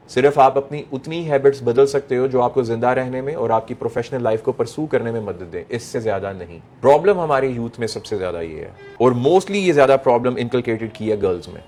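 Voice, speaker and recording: A man's voice: mid-range voice, darkish tone. A middle-aged man with a Pakistani accent lecturing young people, emphatic, repeating phrases, asking rhetorical questions and mixing in English phrases. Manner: mixes English phrases into speech. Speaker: motivational speaker addressing young people. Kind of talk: motivational lecture to an audience.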